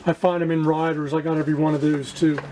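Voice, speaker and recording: A man giving a casual hands-on demonstration to viewers as a hobbyist, haltingly searching for words and repeating himself. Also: a little high, level tone, mellow, slight background noise. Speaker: radio restoration hobbyist presenting to viewers.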